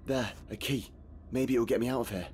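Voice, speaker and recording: An actor playing an anxious, frustrated man trapped in a dark place, muttering and swearing to himself in a video game. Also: medium pitch, fairly even intonation, brisk, slight background noise, lightly acted. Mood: hopeful. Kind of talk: video game voice acting, inner monologue.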